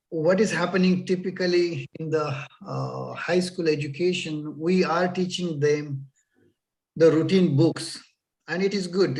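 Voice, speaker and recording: High-voiced Indian man lecturing earnestly. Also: darkish tone, distant mic, poor audio. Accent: Indian English. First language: Marathi. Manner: earnest, advising, many fillers. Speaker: guest lecturer. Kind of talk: lecture on a video call.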